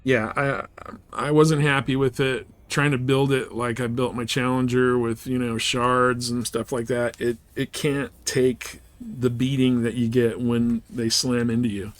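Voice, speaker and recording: A man's voice: medium pitch, flat delivery, measured pace. A man chatting casually and informally as he livestreams a video game, laid-back in manner. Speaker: live streamer. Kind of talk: casual gaming livestream chat.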